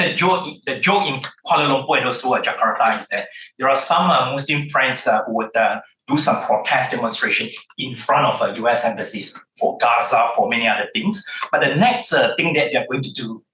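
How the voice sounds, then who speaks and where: A man's voice: mid-range voice, sharp tone, far from the mic, a little hiss or hum. Malaysian man speaking in a roomy hall. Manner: halting, filler-heavy delivery with measured emphasis. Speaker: panel speaker. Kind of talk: academic panel talk. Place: lecture hall or conference room.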